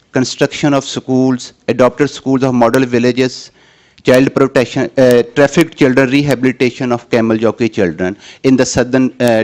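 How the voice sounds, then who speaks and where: Guy presenting at a conference, normal pitch, lots of uh and restarts. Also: a little mellow. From Pakistan. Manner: hesitant, halting, many restarts.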